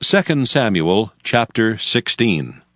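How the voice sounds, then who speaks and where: A man narrating dramatized scripture in a solemn, measured, storytelling way. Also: fairly low pitch, big pitch swings, no room sound, clean studio sound. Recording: loud music bed under voice. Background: prominent music.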